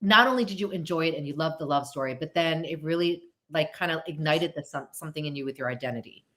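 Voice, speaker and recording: A woman's voice: sits low, quick pace, a bit bright. An American woman hosting a podcast interview, a therapist asking chatty, eager questions and often cutting in.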